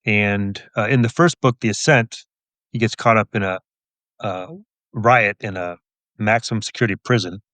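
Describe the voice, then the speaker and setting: A man's voice: lowish voice, normal speed, fairly bright tone, no echo. A middle-aged man answering as an interview guest in a casual, wry, understated way. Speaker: guest, crime novelist and police officer.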